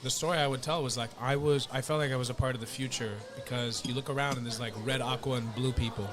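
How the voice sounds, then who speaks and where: A man hosting a podcast, chatting casually and telling stories in an animated way, acting out what other people said to him. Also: mid-range voice, quick pace, a crowd in the background. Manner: animated storytelling, acts out other people's lines. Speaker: podcast host. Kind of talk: casual chat.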